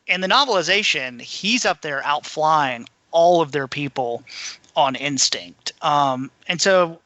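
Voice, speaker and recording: Male co-host, higher-pitched and very bright, sharp delivery, close dry sound, conversational. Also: expressive, a little slow, no room sound, lo-fi sound. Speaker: podcast co-host. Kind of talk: casual discussion of books.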